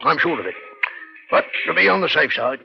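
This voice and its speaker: A man playing a shifty working-class London pool hall owner in an old radio crime drama, slow and mulling. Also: a little high, big pitch swings, vocal fry. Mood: evasive. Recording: muffled old radio recording, music behind.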